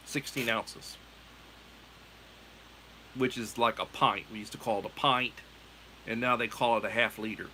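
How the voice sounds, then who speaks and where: A man's voice: medium pitch, pushed and bright, a little hiss or hum, poor audio. A middle-aged American man hosting a livestream, chatting in a meandering, self-correcting way. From United States. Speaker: livestream host. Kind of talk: casual rambling chat.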